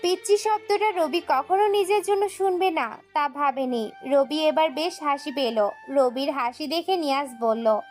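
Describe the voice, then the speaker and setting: A woman's voice: sits high, unhurried, few pauses, soft background music. A woman narrating a scripted dramatic story as its storyteller.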